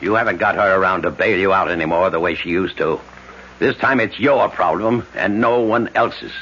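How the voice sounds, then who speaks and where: Stern older father, harsh, commanding, low voice, dull vintage radio sound. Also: normal speed, a bit bright, husky. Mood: cold. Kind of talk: 1970s radio drama acting.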